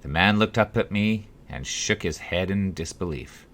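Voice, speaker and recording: A man reading his own story as an audiobook, in a wry, dryly humorous way with dramatic pauses. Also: low voice, lively intonation, fairly bright tone. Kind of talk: scripted audiobook narration of a memoir-like story.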